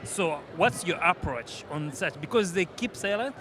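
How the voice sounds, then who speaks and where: A man interviewing people outdoors at an event for a TV channel, asking brisk, teasing questions. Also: high voice, expressive, crowd noise.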